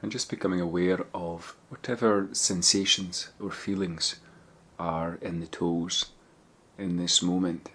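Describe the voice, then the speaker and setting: A man leading a guided body scan meditation, giving gentle, measured instructions with pauses. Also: sits low, fairly even intonation.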